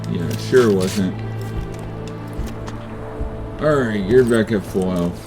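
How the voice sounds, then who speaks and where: A man's voice: on the low side, takes it slowly. A man giving casual commentary as he plays through a video game. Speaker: gamer recording a playthrough. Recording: voice over loud game music. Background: video game music and effects.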